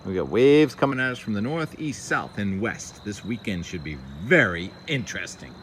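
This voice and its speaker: Man, lowish pitch, casual vlog narration, enthusiastic short remarks, phone recorded outdoors, noticeable background noise. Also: sing-song, moderate pace, a bit bright. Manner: enthusiastic, musing aloud, short exclamatory remarks. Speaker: weather vlogger, videographer. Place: outdoors, beach and waterside. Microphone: handheld phone. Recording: clear outdoor ambient and vehicle noise.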